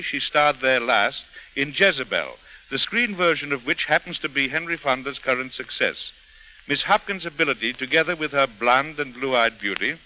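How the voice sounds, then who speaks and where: A man's voice: fairly high pitch, pushed and bright. A man presenting and narrating a scripted 1930s radio programme in a formal, theatrical way. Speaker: guest host and narrator. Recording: muffled 1930s radio broadcast.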